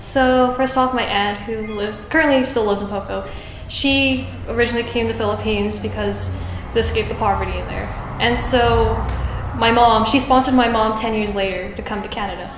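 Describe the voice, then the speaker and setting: A woman's voice: fairly high pitch, steady tone, moderate pace, background noise. A woman telling her family story chattily in an interview. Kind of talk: interview answer. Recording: echoey with faint ambience.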